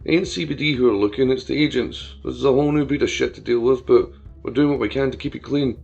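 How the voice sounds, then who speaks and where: A man doing a gruff phone fixer. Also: medium pitch, fast talker, a little mellow, lightly acted. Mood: brusque. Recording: dull lo-fi with faint music.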